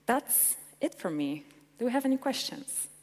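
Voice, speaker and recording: A woman's voice: fairly high pitch, big pitch swings, normal speed, darkish tone. Eager woman in a hall. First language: Polish. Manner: eager, chatty presenting with fillers. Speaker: conference speaker. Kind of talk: tech conference talk and Q&A. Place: large conference hall. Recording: reverberant conference hall.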